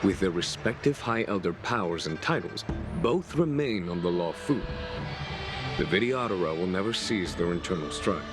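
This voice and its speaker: An actor playing a calm, formal general in a video game, speaking in an unhurried and eloquent way. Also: male voice, sits low. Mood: calm, measured. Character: calm, measured male general of the Cloud Knights. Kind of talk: scripted video game voice acting. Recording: music loud under the voice.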